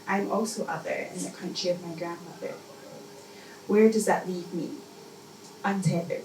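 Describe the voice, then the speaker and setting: A woman reading her spoken-word poetry at a live event, with measured, deliberate phrasing and pauses. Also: lowish voice, steady tone, a little mellow. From Scotland. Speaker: poet. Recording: echoey, noisy room, mic distant.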